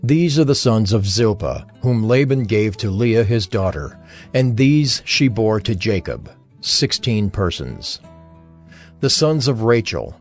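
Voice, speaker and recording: A man narrating scripture and reading a genealogy deliberately, naming each person one at a time. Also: fairly low pitch, a little mellow. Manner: deliberate, listing names one by one.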